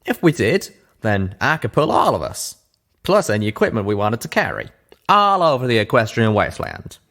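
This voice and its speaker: A man acts out a folksy pegasus stallion in a storybook reading, pitched above his narrating voice. He drawls country slang, a tinkerer through and through. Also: wildly swooping pitch, normal speed, a bit bright. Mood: eager, proud. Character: eager, folksy male pegasus pony tinkerer.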